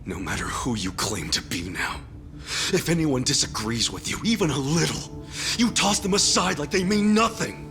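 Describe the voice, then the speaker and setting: An actor playing a righteous, loyal gangster hero in a video game cutscene, speaking in a blunt, earnest, accusing manner. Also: male voice, on the high side, lively intonation, moderate pace, music in the background. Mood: accusing, impassioned. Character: righteous, loyal gangster hero confronting his former young master.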